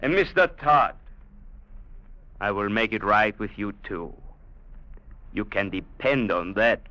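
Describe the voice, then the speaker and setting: Brutal captain, arrogant, hard-edged, menacing orders, 1950s radio drama, muffled vintage recording. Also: male voice, fairly low pitch, expressive, slow, pushed and bright, slight background noise, full character voice. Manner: barks orders, sneering threats. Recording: dull vintage radio broadcast.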